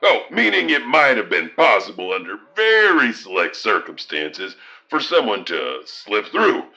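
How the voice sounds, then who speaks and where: A man's voice: on the low side, huge pitch swings, unhurried, vocal fry, bright, forceful tone, muffled recording, full character voice. A man playing a cryptic, professorial eyewitness who rambles hesitantly and pedantically about lore in an audio drama. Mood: musing, pedantic.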